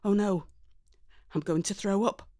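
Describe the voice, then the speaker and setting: A woman's voice: mid-range voice, steady tone, measured pace, mellow. A woman reading a dramatic first-person story expressively and conversationally, in character as a teenage girl. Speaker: audiobook narrator.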